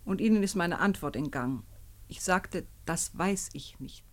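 An actress playing a guarded, unyielding wife under police questioning in a 1950s German radio drama, speaking in a controlled, formal, curt way. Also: female voice, fairly low pitch, lively intonation, normal speed, slight background noise, natural voice. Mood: guarded, cool.

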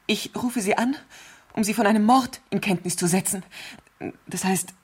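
An actress playing an anxious, imperious bedridden rich wife in a 1970s German radio thriller, urgent and impatient. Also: female voice, medium pitch, husky, lightly acted. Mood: agitated, urgent. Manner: urgent, agitated, impatient, haltingly insistent.

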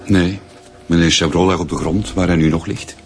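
A man's voice: sits low, fairly even intonation, moderate pace. A man playing a matter-of-fact ambulance worker. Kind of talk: radio drama acting. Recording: music under dialogue.

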